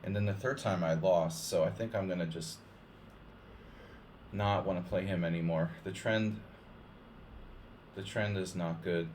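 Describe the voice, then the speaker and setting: Low-voiced rambling male chess streamer. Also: fairly even intonation, unhurried, long pauses, a little mellow, slight background noise. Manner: rambling, lots of "like" and restarts; loose, joking asides. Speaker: chess streamer playing viewers. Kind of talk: casual live-stream chat over games.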